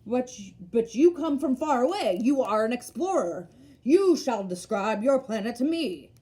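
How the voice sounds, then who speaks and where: A woman's voice: on the high side, expressive, moderate pace, mellow, voice pushed up, close to an everyday voice. A woman voicing a self-important old male geographer from a storybook, lecturing with pedantic emphasis. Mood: excited.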